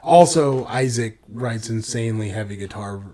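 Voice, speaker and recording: A man's voice: mid-range voice, moderate pace, crowd noise. A man co-hosting a podcast, talking in a rambling way with lots of "like" fillers and sudden bursts of enthusiasm. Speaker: podcast co-host. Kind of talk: casual podcast chat.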